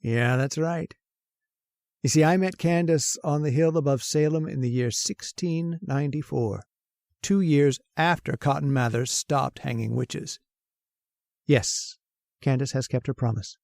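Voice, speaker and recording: A man's voice: medium pitch, measured pace, vocal fry, a little mellow, dry recording, studio quality. An actor playing a nervous, haunted man who narrates his story in an old-time radio horror drama, jittery and hesitant. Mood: wry, haunted. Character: nervous, haunted, centuries-old male professor confessing a murder plan.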